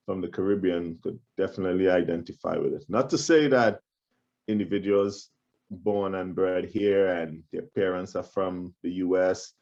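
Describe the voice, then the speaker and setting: Deep-voiced man from Trinidad hosting. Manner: warm, affirming, repeats words while thinking. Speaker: podcast host. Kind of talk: conversational interview. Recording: dull lo-fi audio.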